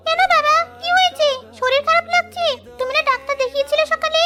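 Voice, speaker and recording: A woman's voice: very high-pitched, fast, music in the background. A woman voicing a pampered, fretful young daughter in a cartoon, exclaiming and questioning. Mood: worried. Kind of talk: cartoon dialogue.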